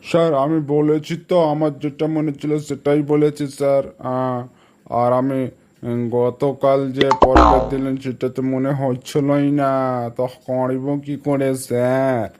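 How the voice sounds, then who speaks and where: A man's voice: mid-range voice, little pitch movement, a little slow, darkish tone, close to an everyday voice. A man voicing a dutiful schoolboy in a comedy cartoon, speaking in a rote, recited way. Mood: defensive.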